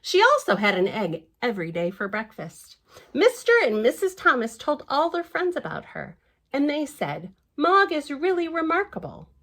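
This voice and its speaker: A woman reading a picture book aloud to children, expressive and playful, with gentle little asides. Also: on the high side, moderate pace. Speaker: library storytime reader.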